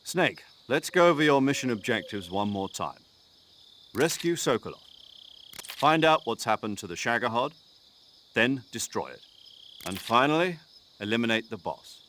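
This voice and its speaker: An actor playing a talkative military commander giving a mission briefing over the radio in a game cutscene. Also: male voice, mid-range voice, sing-song, moderate pace, pauses often, fairly bright tone, slight background noise. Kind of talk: video game cutscene acting.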